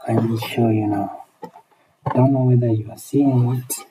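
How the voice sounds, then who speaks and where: A man's voice: mid-range voice, fairly even intonation, a little slow, mellow, breathy edge, rough recording. A man with a Kenyan accent chatting casually on a livestream as he teaches repair and replies to viewers, often repeating his phrases. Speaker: phone repair technician and live streamer.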